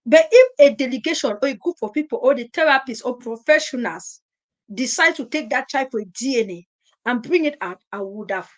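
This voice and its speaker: A woman's voice: high voice, lively intonation, fairly quick. A woman hosting a live stream, talking emphatically, repeating her points and firing rhetorical questions. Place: at home in Europe.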